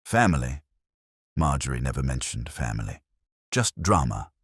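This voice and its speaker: A man's voice: a bass voice, very animated, normal speed, slightly rough, no echo, clean studio sound, heavily acted. A man voicing a harried, sour stage manager in his forties, curt and suspicious. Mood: bitter, nervous.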